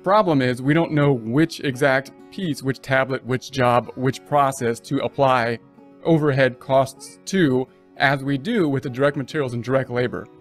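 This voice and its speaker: A man's voice: medium pitch, normal speed, soft background music. A man teaching accounting in a casual voice-over lecture, talking it through and restarting phrases as he goes. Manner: conversational, restarts mid-phrase, thinks aloud. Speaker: accounting instructor.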